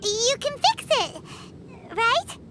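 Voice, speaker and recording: A woman voicing a tiny, excitable, childlike fairy companion in a video game, perky and eager. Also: extremely high pitch, drawn-out words, very noisy, heavily acted. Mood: helpful, prodding. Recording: loud music behind the voice. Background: game music.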